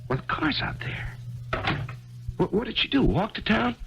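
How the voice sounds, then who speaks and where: A man's voice: high-pitched, very animated, normal speed, vocal fry, background noise, full character voice. An actor playing a grieving middle-aged man, hesitant and stammering, earnest and unsure, in a 1970s radio drama. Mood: anxious. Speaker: lead character and narrator. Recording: dull old radio broadcast with music.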